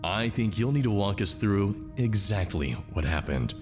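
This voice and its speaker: A man's voice: lowish voice, expressive, moderate pace, over music, close to an everyday voice. A man acting a condescending, sarcastic lieutenant who questions people at a military hearing. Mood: sardonic, pressing. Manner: condescending, sardonic, interrogating. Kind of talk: screen drama acting.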